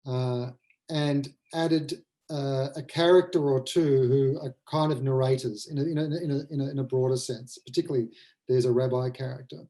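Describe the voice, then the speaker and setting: A man with a soft-edged, mellow voice speaks as a writer on a webinar. He is reflective and conversational. He often halts and repeats a phrase while he works out what he means. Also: medium pitch, fairly even intonation. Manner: thoughtful, halting, repeats phrases as they form. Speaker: playwright adapting a novel for the stage.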